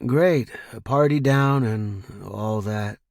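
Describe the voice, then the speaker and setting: A man's voice: fairly low pitch, takes it slowly, gravelly, darkish tone, no echo, clean studio sound. A man voicing a bitter, distracted young office worker in an audio story, curt and casual. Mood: distracted, evasive. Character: David, bitter, sarcastic, distracted young male office analyst.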